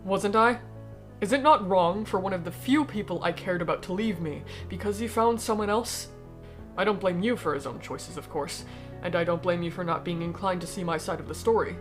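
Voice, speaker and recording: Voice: medium pitch, soft background music, natural voice. A performer in a fan dub voicing a cold, aristocratic alien noble who speaks formally and eloquently, bitter yet persuasive. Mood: resentful, pointed. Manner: formal, eloquent, measured speech. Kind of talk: fan-dub voice acting of comic dialogue.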